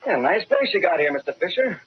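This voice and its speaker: Brash, high-voiced newspaper reporter. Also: male voice, sing-song, fairly quick. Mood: cool, needling. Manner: cocky, slangy. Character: brash, wisecracking, hot-headed newspaper reporter. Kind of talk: 1940s radio acting. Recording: muffled, noisy old radio recording.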